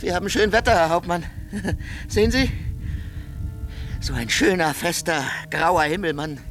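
A poor, brooding soldier in a radio drama. He speaks slowly in a fairly high voice, intense and disjointed like someone haunted, with clear music underneath. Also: fairly bright tone. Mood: bleak, distracted. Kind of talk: German radio drama acting.

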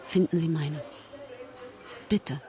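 A woman's voice: low voice, slow, music in the background. A woman acting a worried middle-aged mother, bitter about her ex, in a naturalistic radio drama. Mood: motherly, insistent.

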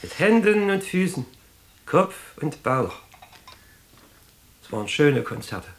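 A man's voice: fairly high pitch, sing-song, takes it slowly, heavily acted. A man playing a mournful guest telling tales theatrically in a radio drama. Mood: wistful, mournful. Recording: roomy, clattering dishes.

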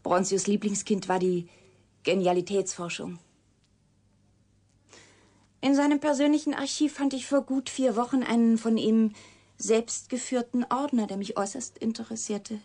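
An actress playing an embittered, secretive woman scientist in a German radio drama, deliberate and intense in delivery. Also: on the high side, fairly even intonation, warm tone, lightly acted. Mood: controlled, confiding. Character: embittered woman scientist, secretive product of her father's experiment.

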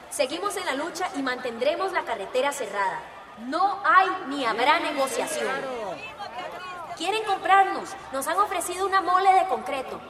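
Fiery high-voiced woman activist played by an actress. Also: reverberant, big-room sound, recorded from a distance. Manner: defiant, declamatory, rallying. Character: fiery woman activist leading a village road blockade. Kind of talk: radio drama acting.

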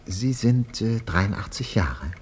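An actor playing an unctuous, sensation-hungry radio reporter in a 1950s German radio play, theatrical in delivery. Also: male voice, fairly low pitch, lively intonation, slow pace, slight background noise. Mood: politely intrusive. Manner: theatrical, swinging from declaiming to hushed confiding.

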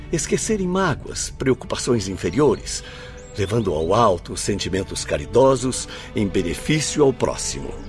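A man with a Brazilian accent playing a benevolent, grave spirit mentor in a radio drama, speaking in a formal, measured, instructive way. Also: fairly low pitch. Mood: solemn exhortation. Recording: music under the voice.

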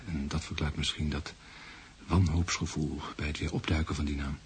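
An actor playing a calm, probing doctor in a radio drama, speaking in a measured, formal, clinical way. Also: male voice, extremely low pitch. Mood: analytical. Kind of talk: Dutch radio drama acting. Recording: noisy radio play recording.

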